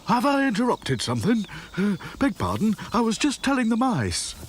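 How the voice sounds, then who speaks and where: A man's voice: high-pitched, huge pitch swings, moderate pace, slight background noise, pitched above the performer's own voice. A man voicing a short-sighted, talkative old male mole who stammers and puffs. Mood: flustered. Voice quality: stammering, breathless and puffing.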